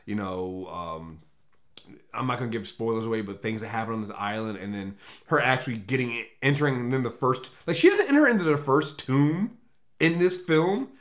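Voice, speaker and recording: A man's voice: mid-range voice, lively intonation, moderate pace. Male film reviewer, casual and unscripted, opinionated, emphatic delivery, mid-range voice, freely profane.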